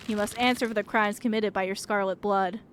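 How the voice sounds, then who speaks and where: A woman's voice: mid-range voice, steady tone, a little fast, a little hiss or hum, close to an everyday voice. A woman voicing a vengeful, mournful male ghost in a video game, speaking in grave, accusing pronouncements. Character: vengeful, mournful male ghost demanding repayment of stolen years.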